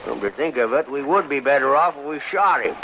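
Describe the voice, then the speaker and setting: An actor playing a greedy, colorful American wagon driver in a radio western, with a folksy frontier drawl. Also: male voice, medium pitch, animated, brisk, sharp tone. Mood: scheming, greedy. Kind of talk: old-time radio western acting. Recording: muffled, noisy vintage radio recording.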